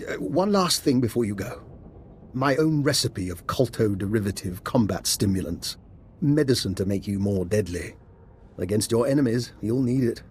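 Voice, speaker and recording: A man's voice: fairly low pitch, expressive, moderate pace, darkish tone, slight background noise, lightly acted. A man playing an eccentric, witty scientist in a video game, wry and theatrical with precise phrasing. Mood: sly, helpful. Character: eccentric, witty male scientist, slyly genial.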